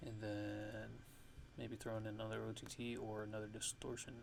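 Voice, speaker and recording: A man narrating a casual software tutorial as a music production host, speaking haltingly as he thinks aloud. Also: fairly low pitch, completely flat delivery, creaky.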